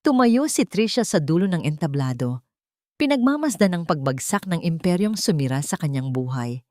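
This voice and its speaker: A woman narrating a drama story in an expressive, dramatic way. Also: fairly low pitch, moderate pace, no echo, clean studio sound.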